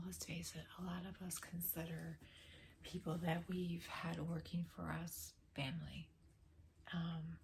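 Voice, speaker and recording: A woman speaking in a hushed whisper to her viewers, gently and patiently pleading as she tries to reach a spirit from her home. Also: lowish voice, a little hiss or hum. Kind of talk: spirit communication vlog.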